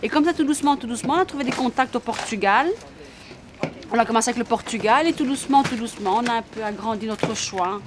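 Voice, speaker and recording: A woman shop owner, a native Italian speaker, talks steadily and repeats her phrases. Her voice sits a little high. Clear shop noise runs behind her. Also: quick pace. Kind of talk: documentary interview.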